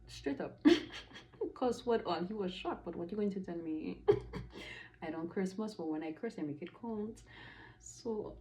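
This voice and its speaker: A woman's voice: medium pitch, animated, measured pace, long pauses, warm tone. A woman from the Caribbean giving a casual spoken tarot reading, emphatic and chatty, repeating affirmations with playful asides. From Caribbean, Dominican. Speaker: tarot reader.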